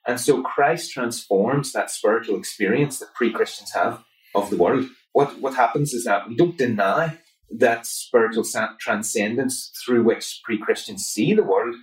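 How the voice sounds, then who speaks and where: A man with a Northern Irish accent speaks as an academic guest, building his points in an earnest, steady way. His voice sits at a middle pitch. He sounds set back from the microphone, with a roomy echo around him. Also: moderate pace. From Northern Ireland. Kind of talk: conversational interview answer. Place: conference venue. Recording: roomy, from far off mic.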